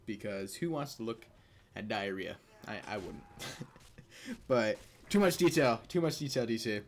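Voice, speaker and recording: A man's voice: fairly low pitch, expressive, a little slow, slight background noise. A young man tells personal stories in a casual, crude, joking way, amused by his own jokes as a video host. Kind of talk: casual comedic personal storytelling.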